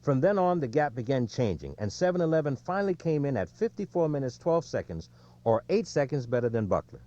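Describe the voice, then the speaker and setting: A man reading an audiobook in a measured, clearly scripted storytelling delivery, recorded with slightly lo-fi sound. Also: medium pitch, big pitch swings, dark tone. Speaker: audiobook narrator.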